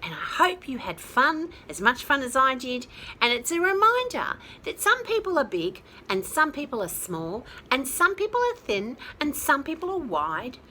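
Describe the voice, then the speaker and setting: A woman's voice: high voice, huge pitch swings, normal speed, bright, forceful tone, slight background noise, rough recording. A woman reading a picture book expressively to young children and explaining things simply. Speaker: children's storyteller.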